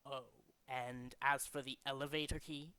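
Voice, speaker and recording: Male actor as pompous game villain, zealous doomsday leader; forceful, sharp tone, close dry sound. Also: medium pitch, level tone, clean tone, no echo, lightly acted. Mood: regretful, menacing. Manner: deliberate, dramatic, pompous declaiming. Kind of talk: theatrical video game character demo reel.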